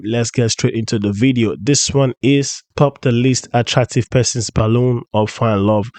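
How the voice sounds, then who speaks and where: A man with a flu-roughened voice, hosting a reaction channel and commenting bluntly and jokingly on clips. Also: medium pitch, darkish tone, no echo. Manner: blunt, joking, repeats himself. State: hoarse from flu.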